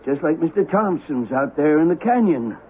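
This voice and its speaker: A cheeky, folksy Western sidekick played by a man in a vintage radio play. His voice is on the high side and very animated, coming through a tinny, slightly noisy recording. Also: moderate pace, close to an everyday voice. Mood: relieved, cheeky. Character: chipper, folksy Old West accomplice. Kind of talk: 1970s radio drama acting.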